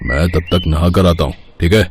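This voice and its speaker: A man's voice: sits low, fairly even intonation, brisk, a little hiss or hum, natural voice. A man voicing a greedy, bullying village headman in a moral story cartoon, with a domineering, sly manner. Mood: bossy. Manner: domineering, demanding.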